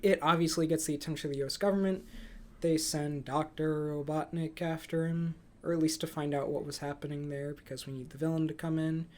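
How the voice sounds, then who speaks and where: A man's voice: a little high, steady tone, a little fast, darkish tone. A young man hosting a casual movie review podcast, chatting with dry, flippant humour. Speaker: podcast host.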